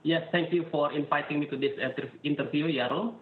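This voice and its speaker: A man's voice: fairly high pitch, a little fast, narrow, tinny audio. A man from Indonesia with a strong Indonesian accent, answering interview questions hesitantly with many fillers. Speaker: guest.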